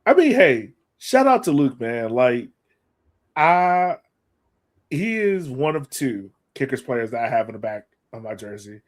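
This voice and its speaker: A man's voice: medium pitch, big pitch swings, measured pace. A man hosting a sports fan podcast, chatting casually in an informal, slangy, enthusiastic way. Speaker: podcast host.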